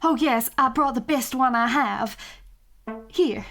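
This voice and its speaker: An actress playing an anxious, doting 1940s widow in a noir audio drama, earnest and hesitant. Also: female voice, high-pitched, takes it slowly, a bit bright, soft background music, exaggerated voice. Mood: pleading, frantic.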